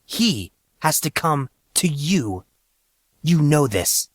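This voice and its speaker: A man voicing a calm, grave, otherworldly teenage boy in an audiobook, with a measured and stern manner. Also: on the high side, dry recording, clean studio sound, pitched above the performer's own voice, heavily acted. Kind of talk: audiobook character acting.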